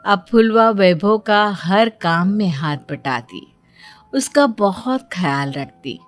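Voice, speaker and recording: A woman storyteller giving a scripted narration. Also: mid-range voice.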